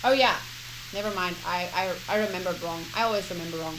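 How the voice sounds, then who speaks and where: A woman streamer playfully reading visual novel text aloud, with casual asides in an expressive delivery. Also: on the low side, fast, background noise. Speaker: game streamer. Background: game music.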